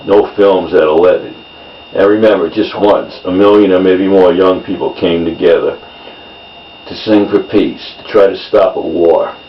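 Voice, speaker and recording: A man reading his own poems in a measured delivery, in short phrases. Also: deep voice, fairly even intonation. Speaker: poet. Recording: roomy, distant mic, dull sound, faint noise.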